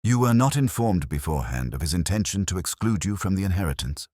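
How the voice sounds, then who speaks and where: A man voicing a probing, measured Victorian detective with formal speech in an audiobook story. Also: low-pitched, expressive, a little mellow, no echo, studio quality. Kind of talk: audiobook character dialogue.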